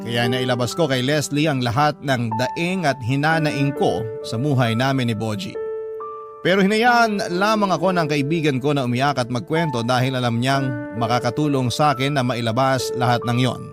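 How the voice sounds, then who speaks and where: A man's voice: mid-range voice, moderate pace. A man reading a letter aloud in the first person with earnest, emotional narration for a radio drama. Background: background music.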